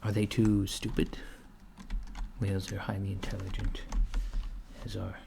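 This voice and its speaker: A man doing a soft-spoken ASMR roleplay as a curious, puzzled alien interviewer, speaking in a deliberate, halting, deadpan way. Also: low voice. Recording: clear background noise, keyboard typing. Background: computer keyboard typing.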